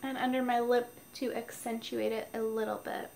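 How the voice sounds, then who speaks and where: A woman's voice: on the high side, flat delivery, moderate pace, vocal fry, a little mellow, a little hiss or hum. A woman with a stuffy nose, chatting casually through her makeup routine step by step while recording at home. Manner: chatty, explains each step. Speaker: beauty vlogger. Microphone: noisy mic.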